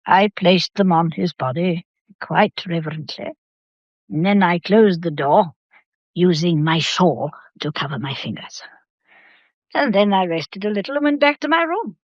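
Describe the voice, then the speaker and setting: An actress playing an imperious old Victorian matriarch with an English accent, speaking formally and deliberately in a radio drama. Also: female voice, on the low side, expressive, dry recording, lo-fi sound. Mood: composed, haughty. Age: older.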